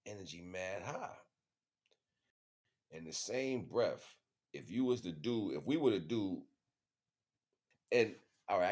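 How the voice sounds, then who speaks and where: Deep-voiced American man rambling casually. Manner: slangy, repetitive with frequent check-in phrases. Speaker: livestream host. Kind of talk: casual rambling monologue to viewers.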